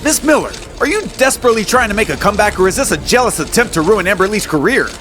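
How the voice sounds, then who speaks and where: A man's voice: high voice, expressive, fast talker, over music. A man playing emphatic public figures in a dramatised audio story, with a theatrical delivery. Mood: pressing. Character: male show announcer, pressing reporter and stern billionaire.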